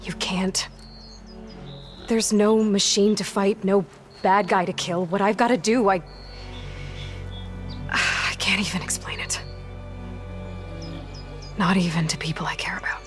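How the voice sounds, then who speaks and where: An actress playing a determined, guarded young warrior woman in a video game, earnest and terse, breathy at phrase ends. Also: mid-range voice, steady tone, measured pace, long pauses, faint music behind, close to an everyday voice. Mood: earnest, burdened. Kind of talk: video game dialogue acting.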